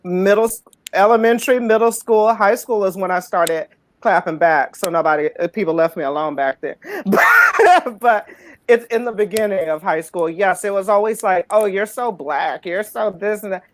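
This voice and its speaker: A man's voice: high voice, very animated, normal speed, fairly bright tone. Man with a super feminine voice. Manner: candid, reflective storytelling. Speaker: panelist. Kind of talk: casual group chat. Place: home with a ring light.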